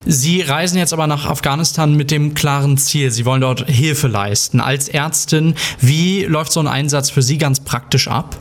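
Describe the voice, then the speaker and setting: A man working as a radio reporter, interviewing a guest with calm, measured questions. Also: on the high side.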